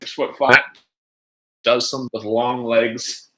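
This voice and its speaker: A man hosting a livestream in casual chat, rambling haltingly and jumping between asides as he talks to viewers. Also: mid-range voice, level tone, fairly bright tone. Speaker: livestream host.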